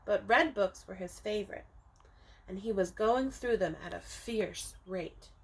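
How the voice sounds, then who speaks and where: A woman teacher reading a picture book aloud to young children, expressively, with dramatic emphasis and drawn-out words, speaking to them directly. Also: mid-range voice, slight background noise, rough recording. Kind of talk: animated children's storybook read-aloud.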